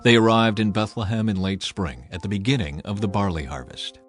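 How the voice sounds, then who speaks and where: A man's voice: lowish voice, a little fast, soft background music. A man giving a scripted, dramatic narration of a scripture audiobook.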